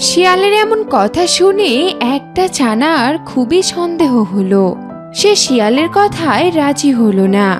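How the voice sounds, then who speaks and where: A woman's voice: high voice, very animated, measured pace. A woman narrating a scripted children's cartoon story. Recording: music under the voice.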